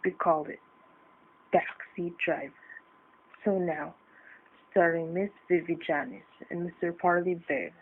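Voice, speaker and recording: A man's voice: a little high, fairly even intonation, measured pace, pauses often, narrow, tinny audio. A man announcing an old-time radio suspense program in a formal, dramatic style. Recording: band-limited old radio recording.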